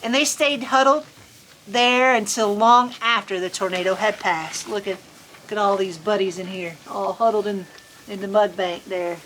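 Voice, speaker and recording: A woman reading a picture book aloud to children in an expressive, folksy, chatty storytime manner. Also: medium pitch, moderate pace, slight background noise, low-quality recording. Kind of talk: animated children's story reading with casual asides. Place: on a farm among sheep.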